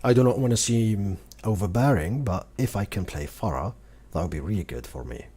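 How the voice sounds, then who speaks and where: A young man with a low, mellow, slightly husky voice talks calmly and deliberately while streaming a game. His pitch rises and falls a lot as he speaks. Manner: slow, calm, deliberate talk. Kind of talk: casual gaming stream chat.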